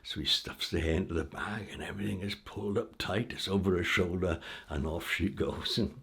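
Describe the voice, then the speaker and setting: A man telling a folk tale aloud in an informal, theatrical way with dramatic pauses. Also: sits low, vocal fry, fairly bright tone, husky. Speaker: storyteller. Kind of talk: animated oral folk-tale storytelling.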